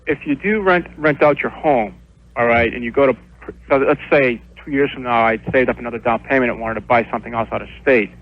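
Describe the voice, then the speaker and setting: A young man calling a radio show for advice, speaking casually in a halting, hesitant way. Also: mid-range voice. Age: young adult. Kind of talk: casual phone consultation. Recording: dull lo-fi phone line on radio.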